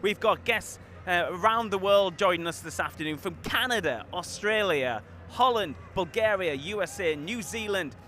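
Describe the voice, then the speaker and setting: A male host brightly runs a live pitchside pre-match show, brisk and friendly. His voice is high and sharp, with faint outdoor noise around him. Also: lively intonation.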